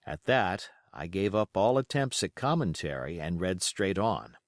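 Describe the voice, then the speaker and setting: A man narrating a storybook in a measured, expressive way, reading from a script. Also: sits low, no room sound, clean studio sound. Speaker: audiobook narrator.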